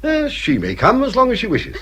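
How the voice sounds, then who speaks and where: Pompous, swooping older English gentleman. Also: very high voice, huge pitch swings, brisk, husky, exaggerated voice. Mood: fussy, flattered. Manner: pompous, hesitant, drawling exclamations. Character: vain, snobbish older English gentleman father. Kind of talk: period radio drama acting.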